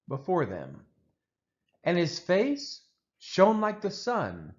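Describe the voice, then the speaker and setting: A man reading the Bible aloud from a text in a measured, deliberate, expressive way, as for a church read-along. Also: on the high side, muffled recording. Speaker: scripture reader for a daily church read-along.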